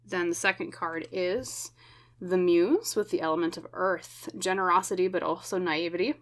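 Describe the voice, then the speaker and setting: A woman with a lowish voice leads a tarot reading for her viewers. Her voice is slightly scratchy, and she speaks gently and reassuringly, often checking in with a soft "okay?". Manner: gentle, slow, reassuring, with many fillers and tag questions. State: scratchy voice from smoke. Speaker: tarot reader. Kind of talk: pick-a-card tarot reading.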